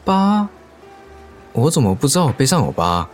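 Playful, excitable young man for audio drama. Teasing, easily flustered, swooping intonation. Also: mid-range voice, normal speed, faint music behind. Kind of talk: Mandarin audio romance drama acting.

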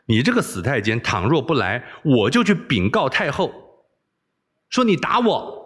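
A man's voice: medium pitch, very animated, normal speed, fairly bright tone, breathy edge. A man voicing a spoiled, wilful young princess, coaxing, bossy and playful, in audiobook storytelling. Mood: threatening, petulant. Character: spoiled, wilful young princess who relishes being scolded.

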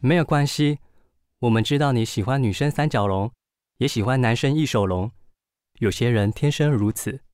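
A man's voice: mid-range voice, normal speed, darkish tone, smooth, dry recording, studio quality, lightly acted. Radio drama son played by a man: youthful, knowing, pushy, middle register, Taiwanese accent. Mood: matter-of-fact. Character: matter-of-fact, pushy young son of a widower. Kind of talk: Mandarin radio drama acting.

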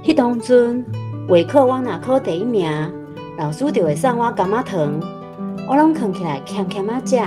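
A woman's voice: fairly high pitch, lively intonation, moderate pace, music in the background, lightly acted. A woman with a Taiwanese accent playing a fond mother telling childhood memories in a children's radio drama. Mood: nostalgic. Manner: chuckling storyteller. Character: fond nostalgic mother recalling her childhood.

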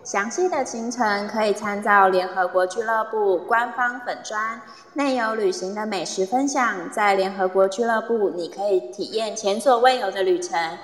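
A woman reading scripted narration for a travel radio play in a measured, presentational way. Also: medium pitch, natural voice. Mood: composed, presentational. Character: composed female narrator of a group-tour radio play. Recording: echoey, long reverb.

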